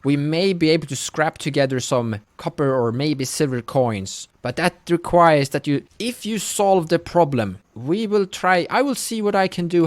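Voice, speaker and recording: A man playing a bony old village elder and former soldier in a tabletop game, speaking haltingly as he bargains. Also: a little high, no room sound, lightly acted.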